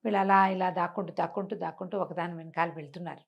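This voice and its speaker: A woman's voice: lowish voice, steady tone, rapid-fire, gravelly, muffled recording. A woman tells a fantasy folk tale in a conversational way, using rhetorical questions and repetition. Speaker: storyteller on a web show.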